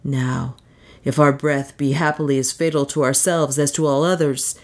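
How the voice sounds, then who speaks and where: A woman's voice: very deep voice, exaggerated voice. A woman reading a story, voicing a bitter, enraged young man who denounces his lover in archaic, venomous speech. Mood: furious. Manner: venomous, drawn-out archaic denunciation. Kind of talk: dramatic audiobook storytelling.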